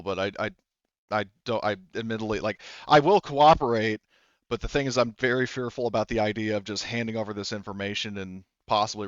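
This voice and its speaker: A man plays an anxious woman defector in a tabletop roleplaying game, guarded and shaken. The words come haltingly in a low, smooth voice, recorded close and dry with a slightly muffled sound. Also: a bit bright, no echo, natural voice. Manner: hesitant, halting.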